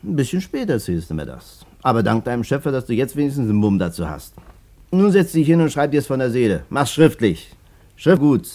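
Wry storytelling witness, mid-pitched, animated, emphatic, colloquial, radio play. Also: male voice, brisk. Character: animated male witness recounting a tangled fraud case.

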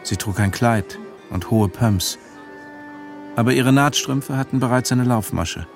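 A man's voice: mid-range voice, unhurried, soft background music. A man reading scripted literary narration as the narrator of a radio play. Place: studio.

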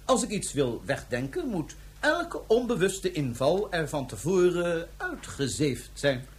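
Power-hungry sci-fi radio villain: husky, imperious, highly animated delivery. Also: male voice, unhurried, exaggerated voice. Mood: imperious, demanding. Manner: imperious, emphatic, theatrical. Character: demanding, power-hungry male villain ordering a powerful invention. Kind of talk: Dutch science-fiction radio drama acting.

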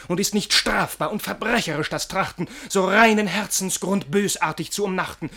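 An actor playing an exuberant, naive young lover who confides in theatrical, declamatory verse in a radio comedy. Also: male voice, sits high. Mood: impassioned. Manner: declamatory, animated, rhymed delivery.

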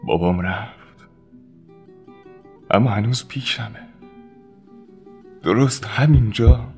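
A man with a low voice reads a sentimental radio tribute. Soft plucked-string music plays lightly behind him.

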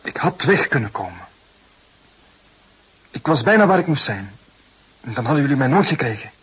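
Very husky, sullen young burglar. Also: male voice, mid-range voice, very animated, normal speed, a bit bright, muffled recording, full character voice. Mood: shaken, bitter. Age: young adult. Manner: guarded, clipped, brooding answers. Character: sullen, defeated twenty-year-old burglar under police questioning. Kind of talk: Dutch radio play acting.

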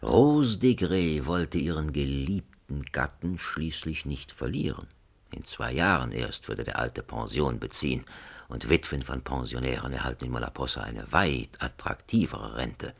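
A man narrating a satirical radio drama in a deliberate, ironic, literary manner. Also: very deep voice, expressive, creaky, slightly rough, full character voice. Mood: wry, measured. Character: wry male storyteller narrating a satirical tale.

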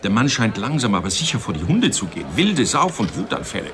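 Polite, concerned businessman for radio noir: fairly low voice, crowded bar behind him. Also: big pitch swings, quick pace, a crowd in the background. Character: courteous, worried male book publisher. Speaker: actor playing a publisher. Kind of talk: radio crime drama dialogue.

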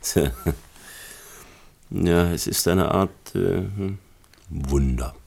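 A very low, gravelly male voice as a brooding film artist in a radio play. He muses haltingly, with a tender streak under the gloom. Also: a little mellow, exaggerated voice. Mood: tender, wistful. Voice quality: deep, creaky. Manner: hesitant, musing, halting. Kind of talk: German radio drama acting.

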